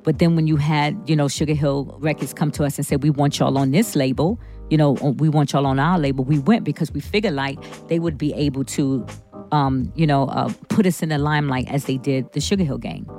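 A woman's voice: sits low, brisk, a little mellow. A middle-aged or older woman, a musician, telling her story in an interview and building points through repetition. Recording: music playing behind the voice.

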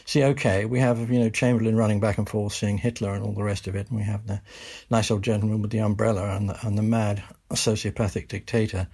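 An older man from Britain talks through history as an interview guest. His voice is gravelly and a little husky, lower than most. He answers in a measured, thoughtful way, now and then restarting a sentence. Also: darkish tone.

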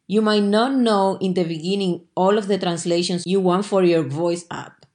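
A woman's voice: lowish voice, a little mellow. A woman hosting a short podcast briefing, speaking from a script as she presents. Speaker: podcast host.

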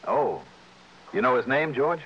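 An actor playing a hard-boiled, decent American insurance investigator who also narrates, in 1960s radio drama style. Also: male voice, mid-range voice, expressive, measured pace, a little hiss or hum, close to an everyday voice. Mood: brisk, curious. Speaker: lead actor and first-person narrator. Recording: dull vintage radio recording, music behind.

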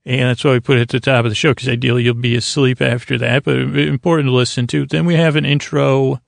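Creaky-voiced man rambling soothingly. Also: medium pitch, little pitch movement, fast talker, dry recording, clean studio sound. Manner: languid, meandering, often stumbling over words. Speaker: host of a bedtime podcast. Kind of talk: rambling, soothing sleep-aid monologue.

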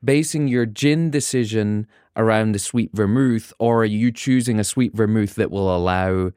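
Man, interview host, smooth voice, dry sound, casual questions. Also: on the low side, moderate pace, a little mellow, no echo. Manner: conversational, thinks aloud, many "right?" tags.

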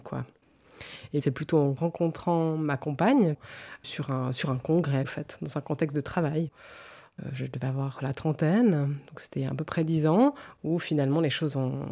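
A woman in her forties with a very low voice talks through her story in an interview. Her tone is warm and mellow, and she speaks thoughtfully and with some hesitation. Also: gravelly. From canton of Vaud, French-speaking Switzerland. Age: 40s. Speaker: interview guest. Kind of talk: personal testimony in an interview.

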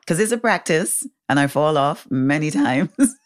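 Very low-voiced woman storyteller. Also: lively intonation, a little slow. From England, raised in the Caribbean. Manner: candid, laughs often, acts out quoted voices. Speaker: guest sharing her story. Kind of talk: personal storytelling.

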